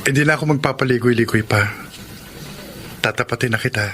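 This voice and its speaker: A man acts an earnest, impatient suitor in a Filipino radio love drama. His voice sits at a middle pitch, and there is clear background noise around him. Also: brisk, fairly bright tone, lightly acted. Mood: earnest, confessing. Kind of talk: Filipino radio romance acting.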